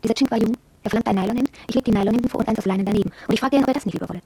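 Casting: opinionated young shopgirl, very husky and mellow, confiding radio play monologue. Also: on the high side, rapid-fire, dry recording, rough recording. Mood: intrigued, recounting. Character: headstrong, proud young shop apprentice girl, cool and yearning. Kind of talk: radio drama first-person monologue.

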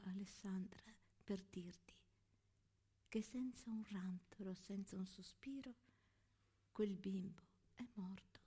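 A woman playing a tender, grieving young wartime woman who confides in her diary in a breathy, hushed voice. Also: mid-range voice. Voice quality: breathy, half-whispered. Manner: hushed, slow, intimate. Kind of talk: theatrical monologue set to music. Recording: stage monologue with music behind.

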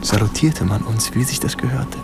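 Male actor playing young lieutenant, dutiful but uneasy, war radio drama, mid-pitched, music underneath. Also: normal speed, vocal fry, natural voice. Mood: measured recollection. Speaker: first-person narrator of a war radio play. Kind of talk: radio drama narration and dialogue.

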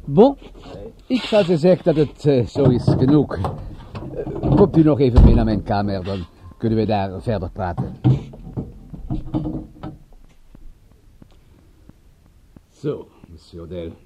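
A man playing a courteous French police inspector in a radio drama, with a French accent and halting, polite speech. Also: mid-range voice, very noisy, natural voice. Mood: polite, firm. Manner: halting, polite speech with inverted word order.

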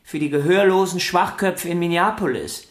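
A man's voice: sits high, moderate pace, lightly acted. Vain, stubborn violinist, acted by a man in echoey room. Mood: self-pitying. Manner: self-important, argumentative, theatrical. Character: vain, stubborn, celebrated male violinist arguing with his sister. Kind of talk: German radio play acting. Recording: roomy indoor echo.

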